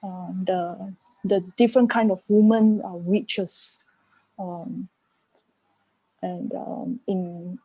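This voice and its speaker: A woman giving reflective answers as an interview guest, haltingly searching for her words. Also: mid-range voice, dark tone, no echo, low-quality recording. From Malaysia. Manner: halting, searching for words in non-native English. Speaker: art curator and panel guest.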